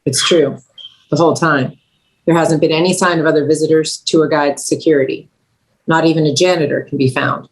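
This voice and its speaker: A woman's voice: deep voice, fairly even intonation, brisk, low-quality recording. A woman narrating scripted stage directions at a table read.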